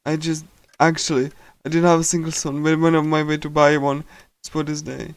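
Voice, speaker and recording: Clear-voiced man reading game text aloud. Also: on the high side, level tone, normal speed, no echo. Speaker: streamer playing a visual novel.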